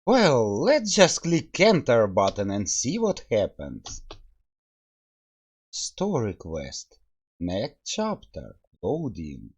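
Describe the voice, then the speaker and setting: A man hosts a casual game playthrough with open enthusiasm and plenty of exclamations. His pitch leaps all over the place while he takes his time with the words. The voice has a slightly husky grain. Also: wildly swooping pitch, slow. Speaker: host reviewing a game. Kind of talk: casual gameplay commentary.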